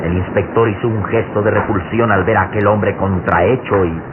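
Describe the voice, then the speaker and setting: A man narrating an old radio serial in a dramatic, solemn, suspenseful style with weighty pauses. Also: fairly low pitch, steady tone. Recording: noisy narrow-band old radio serial recording.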